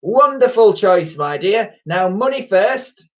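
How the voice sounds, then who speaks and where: A man's voice: sits high, expressive, takes it slowly, far from the mic, muffled recording, voice pushed up. A man voicing a greedy woman ice cream seller with sugary politeness over a nasty streak, in a children's storybook reading. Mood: sugary sweet.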